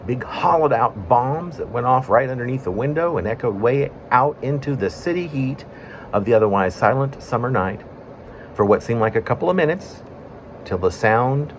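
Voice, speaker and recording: A man reading his own poem in a conversational storytelling way, breaking it into short phrases with pauses. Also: medium pitch, slight background noise. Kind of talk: spoken-word poetry reading, conversational storytelling.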